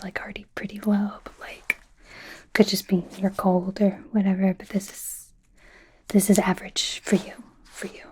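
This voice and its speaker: A woman playing an anxious, apologetic young werewolf medical student in a soft, hesitant ASMR roleplay whisper. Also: mid-range voice, slight background noise, natural voice. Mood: puzzled, worried. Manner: hesitant, halting, nervous.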